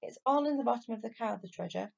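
A woman voicing an excitable, earnest and insistent young boy in a children's storybook reading. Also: a little high, fast, gravelly, muffled recording, full character voice. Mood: eager.

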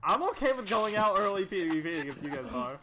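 A man's voice: sits high, big pitch swings, brisk, a crowd in the background. A man chatting and bantering casually as he plays a multiplayer game.

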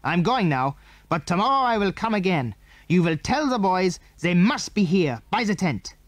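A man's voice: high-pitched, sing-song, normal speed, no room sound, voice pushed up. A man voicing an angry, suspicious foreign enemy in a children's audiobook, barking demands in stilted English. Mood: angry, commanding.

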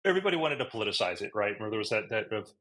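A man's voice: fairly low pitch, big pitch swings, rapid-fire, fairly bright tone. A man co-hosting a podcast, telling a rambling story in casual chat, recorded on a close webcam in a room at home. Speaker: podcast co-host.

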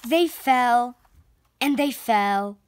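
A preteen girl reading a children's picture book aloud with expressive, deliberate storytelling. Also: on the high side, clean tone. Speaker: storybook reader and channel host. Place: indoor room at home, on a sofa.

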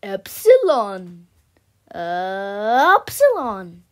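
A woman's voice: medium pitch, huge pitch swings, very slow. A woman voicing a cartoon in an exaggerated way, with single words and playful babbled noises. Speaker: amateur voice actor dubbing an animation.

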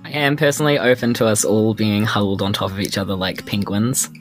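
A man's voice: on the low side, steady tone, brisk. Casual New man over music. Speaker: tabletop game player. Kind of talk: casual game banter.

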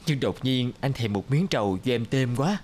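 A man's voice: medium pitch, lively intonation, moderate pace, darkish tone, slight background noise, close to an everyday voice. A man playing an easygoing, affectionate young village suitor in a cartoon folktale. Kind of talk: animated folktale acting.

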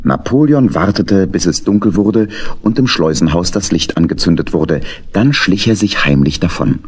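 A man's voice: low voice, lively intonation, moderate pace, breathy edge. A man narrating a scripted children's audio story.

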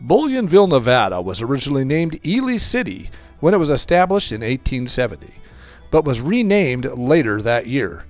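A man reading a scripted history voice-over in an even, read-aloud manner. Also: mid-range voice, normal speed. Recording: voice-over with music behind.

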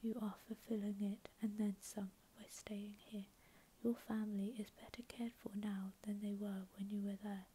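A stern male faerie lord with claws and fangs, hushed to a whisper in a storybook reading, played by a woman. The tone stays soft, mellow and level. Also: medium pitch, little pitch movement, normal speed, few pauses, breathy edge, rough recording.

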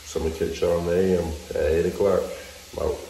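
A young man vlogging casually to his phone in a hotel room, with a relaxed slangy delivery full of ums. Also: deep voice, fast talker, noisy background. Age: young adult. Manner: laid-back slangy talk with many ums. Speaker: college basketball player vlogger. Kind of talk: casual vlog to camera. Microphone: phone held at arm's length.

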